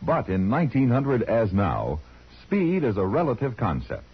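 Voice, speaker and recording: A man with an American accent announcing from a script on 1950s radio in a measured, formal way. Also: medium pitch, huge pitch swings, slightly rough. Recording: dull vintage radio broadcast.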